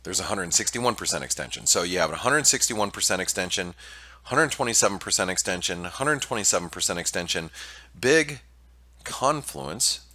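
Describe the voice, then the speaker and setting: A man with a very deep voice that still sounds bright and forceful. He is nasal and stuffed up, and he talks through a market preview with lots of "um"s and false starts. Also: expressive, moderate pace. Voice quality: nasal from allergies. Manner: hesitant, many fillers and restarts. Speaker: market analyst presenting weekly preview. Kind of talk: casual screen-share market commentary.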